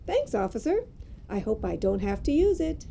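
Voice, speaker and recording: A woman's voice: on the high side, big pitch swings, moderate pace, creaky, mellow, slight background noise, exaggerated voice. A woman acting a stubborn, self-reliant woman in an audio drama, with an emphatic, headstrong way of talking. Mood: relieved, polite.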